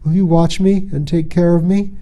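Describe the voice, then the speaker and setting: A proud young fairy-tale man, acted out by a male reader, frightened and sulky. He declaims with indignation, his pitch lifted above a very mellow, dark timbre. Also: fairly even intonation, moderate pace, close to an everyday voice. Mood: weary, pleading.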